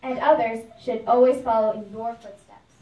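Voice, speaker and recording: A high, solemn voice echoes from a short distance as a protective half-man, half-lion god played by a woman. The delivery is formal and measured, that of a benevolent deity in a puppet show. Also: steady tone, dull audio, lightly acted. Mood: benevolent, pleased. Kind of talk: devotional puppet show dialogue. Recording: echoey room, distant microphone.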